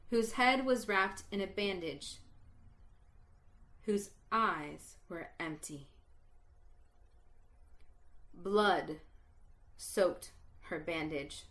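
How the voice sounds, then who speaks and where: A woman reads a story aloud at a slow, unhurried pace. Her voice is bright and forward, and she lets dramatic pauses hang, dropping to a hush at the saddest moments. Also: medium pitch. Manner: slow, dramatic pauses, hushed at sad parts. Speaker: reader. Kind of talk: storytelling reading.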